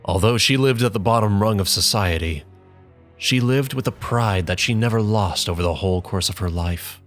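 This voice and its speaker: A bitter young man broods in a low, measured voice, read by a man as a dramatic audiobook. He sounds contemptuous, and he stops for heavy pauses between phrases. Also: soft background music, close to an everyday voice. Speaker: narrator in character.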